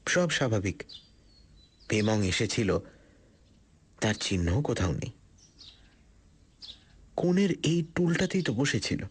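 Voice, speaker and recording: A man narrating a suspense story dramatically, in a scripted first-person style with deliberate pauses. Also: fairly low pitch, sing-song, husky. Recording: loud music under the voice. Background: loud background music.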